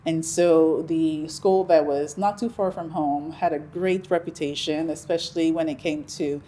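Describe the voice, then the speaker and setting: A middle-aged woman from Nigeria, a Yoruba speaker, talking about her life in a relaxed, reflective interview, with frequent ums and restarts. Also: deep voice, normal speed. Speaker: interview guest. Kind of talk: conversational interview.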